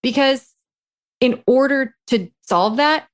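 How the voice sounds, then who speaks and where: A woman's voice: high voice, slow, a little hiss or hum. A woman co-hosting a podcast as a coach, chatting casually in a frank, emphatic way. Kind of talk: casual chat between co-hosts.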